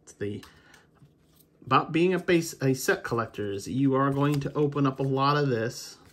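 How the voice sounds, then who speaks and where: A man's voice: medium pitch, normal speed, fairly bright tone. An American man chatting casually and unscripted, rambling a little as he thinks aloud like a hobby video host. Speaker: video host, baseball card collector. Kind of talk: casual unscripted chat, commentary while opening card packs.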